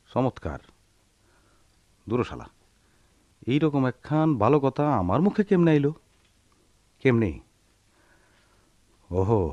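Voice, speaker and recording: An actor playing a poor sharecropper husband, tender but hot-tempered, speaking rural Bangladeshi dialect in a theatrical radio drama manner. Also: male voice, fairly low pitch, no room sound, highs cut off, exaggerated voice. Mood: musing. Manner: theatrical, swinging from murmurs to shouts.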